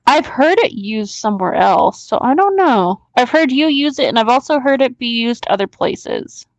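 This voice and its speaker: A woman's voice: fairly high pitch, expressive, normal speed, no room sound, highs cut off. A woman from Texas reading a story aloud as a co-host, theatrical and mocking, with casual banter. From Texas, United States.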